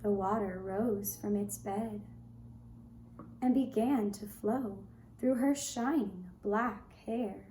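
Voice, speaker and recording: A woman narrating a folk tale as a puppet show storyteller, with measured, deliberate phrasing. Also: medium pitch, dark tone. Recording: roomy echo, faint background noise.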